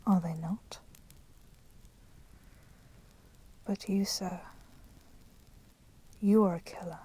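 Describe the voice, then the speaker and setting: A woman playing a polite but menacing vampire in a whispered ASMR roleplay, speaking softly and formally. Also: on the low side, fairly even intonation, exaggerated voice. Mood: taunting, knowing. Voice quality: breathy, soft, often whispering. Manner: slow, deliberate, formally courteous. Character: polite, menacing female vampire, proud and merciful.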